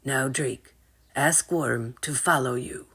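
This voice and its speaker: A storybook wizard read by a woman, an old man guiding young dragon trainers. He speaks in a low, measured way, calm and instructive, taking his time. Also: slightly rough, full character voice.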